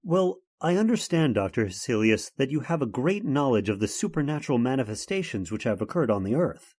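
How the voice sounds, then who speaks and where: A man's voice: medium pitch, big pitch swings, a little fast, a little mellow. An actor playing an earnest, worried man seeking help, formal and polite in old-time radio horror style. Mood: earnest, concerned.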